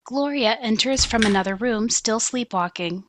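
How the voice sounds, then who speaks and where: Woman narrator over loud noise. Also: fairly high pitch, moderate pace. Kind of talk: scripted stage-direction narration.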